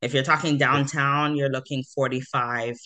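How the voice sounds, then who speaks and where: A woman's voice: rumbling low, steady tone, moderate pace, pushed and bright. A woman from Toronto, a hairstylist chatting as an interview guest, with many fillers and easy laughs. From Toronto, Canada. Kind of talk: casual interview chat.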